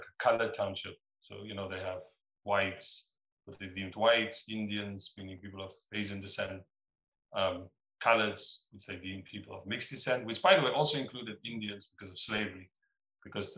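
A man in his fifties with a South African accent, explaining things in a measured way in an interview. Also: on the low side, pushed and bright. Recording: echoey room.

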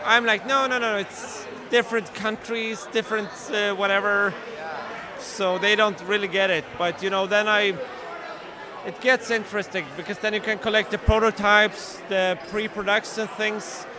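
Guest, man from Sweden, high pitch, chatty with restarts; crowded bar behind. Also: little pitch movement, moderate pace, a crowd in the background. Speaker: guest, toy collector. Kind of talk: casual chat. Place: busy hotel bar.

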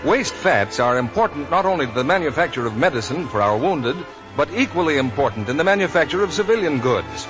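A man's voice: fairly high pitch, sing-song, fairly quick. A male announcer reading a scripted public appeal in 1940s radio style. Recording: muffled 1940s radio, music and crowd behind.

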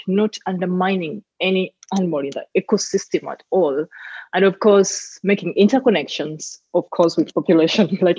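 Earnest Ugandan-accented woman, husky. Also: fairly low pitch, darkish tone, no room sound, muffled recording. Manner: earnest, emphatic, with frequent "you know"s and laughs. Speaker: guest, environmental advocate. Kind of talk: casual interview talk.

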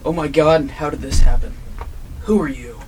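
Male actor as vengeful cop, higher pitch, confrontational, hot-tempered, student radio drama, faint background noise. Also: moderate pace, a little mellow, natural voice, rough recording. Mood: alarmed. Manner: confrontational, accusing. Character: hot-tempered vengeful police detective, grieving murderous husband. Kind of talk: amateur old-time radio drama acting.

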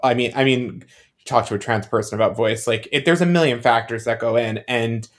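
A man's voice: medium pitch, brisk. A man co-hosting a podcast in casual, joking chat, with a campy, hyperbolic way of talking. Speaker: podcast co-host.

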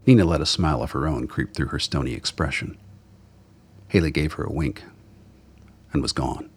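A man's voice: very deep voice, moderate pace, slight background noise. A man reading scripted narration for a radio drama.

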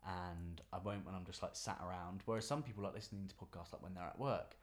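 A young woman hosts a relaxed interview, her voice pitched unusually low for a woman. She talks in a calm, measured, thoughtful way that people find soothing. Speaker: podcast host. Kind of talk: casual interview.

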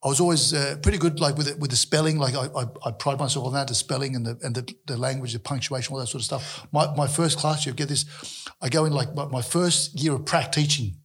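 A man from Turkey whose first language is Turkish, a guest and former teacher, telling lively stories with plenty of laughter. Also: mid-range voice, fast talker, hardly any pauses, breathy edge. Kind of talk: casual chat.

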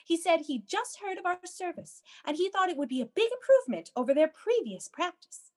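A woman's voice: extremely high pitch, very animated, fairly quick, darkish tone. A woman playing a prim, fussy babysitting agency dispatcher who reassures people, in a comedic radio play. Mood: flustered, coaxing.

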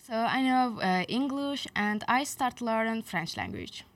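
A woman's voice: fairly high pitch, sing-song, slow pace. Teen girl, careful phrasing, a little far from the mic. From Azerbaijan. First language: Azerbaijani. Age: teens. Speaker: exchange student guest.

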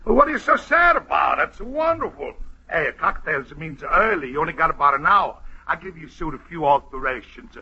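A cocky Italian trickster. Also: male voice, on the high side, sing-song, brisk, sharp tone, breathy edge, heavily acted. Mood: bossy. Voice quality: broken English, chuckling. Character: scheming Italian immigrant friend, cocky and joking. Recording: lo-fi old radio recording.

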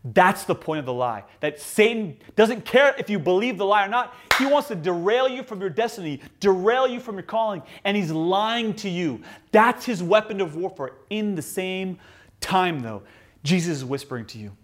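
Emphatic young pastor preaching. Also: male voice, high-pitched, animated, normal speed. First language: English. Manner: storytelling, emphatic, swings between loud and hushed. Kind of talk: sermon.

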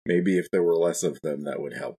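A man with a husky voice, chatting casually as a player in a tabletop game. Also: deep voice, fairly quick, dark tone. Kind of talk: casual in-character tabletop discussion.

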